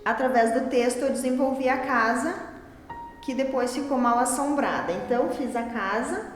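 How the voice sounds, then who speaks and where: A woman's voice: sits high, moderate pace. A woman in her forties from southern Brazil, with that region's accent, recounting stories in an interview. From Rio Grande do Sul, southern Brazil. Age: 40s. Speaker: adult student interviewee. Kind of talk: storytelling in interview. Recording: very echoey hall, music underneath.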